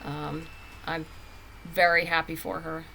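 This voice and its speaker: A woman's voice: low voice, flat delivery, slow pace, creaky, sharp tone, slight background noise, low-quality recording. A woman speaking as a guest writer in a casual podcast interview, often restarting and repeating words as she goes. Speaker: guest comic book writer.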